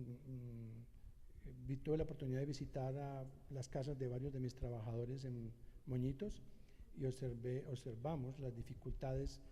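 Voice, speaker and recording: A middle-aged Colombian man introducing himself as a social entrepreneur, halting with many filler sounds. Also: medium pitch, mellow, in a large hall. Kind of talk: short personal introduction on a panel.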